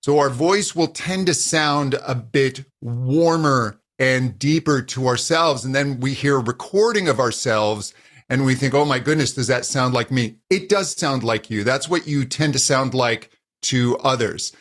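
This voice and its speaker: A man who coaches voice users, answering interview questions and explaining at an uneven, animated pace. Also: mid-range voice, measured pace. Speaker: voice coach, guest.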